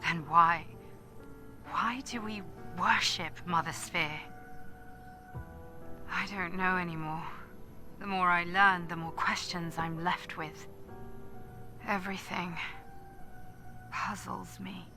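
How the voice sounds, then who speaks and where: A woman's voice: fairly low pitch, steady tone, takes it slowly, long pauses, sharp tone, faint music behind, full character voice. An actress playing a weary, disillusioned female soldier solemnly narrating a log in a video game. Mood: troubled. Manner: solemn, questioning. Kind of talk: dramatic scripted log narration.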